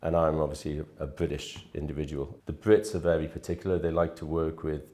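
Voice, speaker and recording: A man in his fifties telling stories from his life in a relaxed, chatty interview, often restarting his sentences. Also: very deep voice, fairly quick. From Wales. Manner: chatty, rambling with frequent restarts. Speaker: interviewee, real estate manager. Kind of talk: relaxed anecdotal storytelling.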